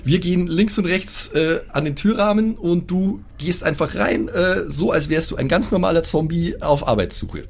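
A man's voice: sits high, normal speed, gravelly, hardly any pauses, a little hiss or hum. Hesitant man playing a tabletop game. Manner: hesitant, repeats words while thinking aloud. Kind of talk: improvised in-character table talk. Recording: music behind the voice. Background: music.

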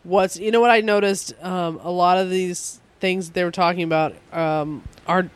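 A woman's voice: steady tone, normal speed, smooth. A congested woman co-hosting a casual comic book podcast, laughing often and swearing casually. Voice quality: low voice for a woman. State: stuffed up, congested. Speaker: podcast co-host.

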